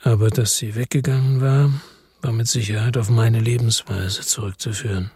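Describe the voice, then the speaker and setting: A man reading first-person literary narration in a measured, brooding, melancholic manner. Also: mid-range voice, fairly even intonation, a little mellow, slightly rough, no room sound, studio quality. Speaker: audiobook narrator.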